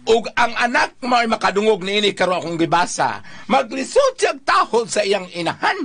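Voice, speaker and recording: Visayan filipino guy co-hosting an advice chat, high voice, really animated. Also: normal speed, creaky. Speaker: talk show co-host. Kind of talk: casual advice talk.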